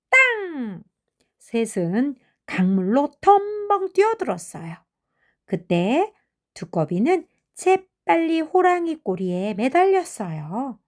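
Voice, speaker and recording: A woman narrating children's fairy tales in an expressive, measured storybook manner. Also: sits high. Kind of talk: animated fairy tale narration.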